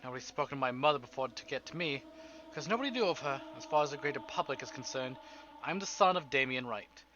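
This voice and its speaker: A man's voice: medium pitch, animated, fast, bright, forceful tone, dull audio, slight background noise. A man reading dramatic first-person narration aloud from a story game as a streamer. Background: game music.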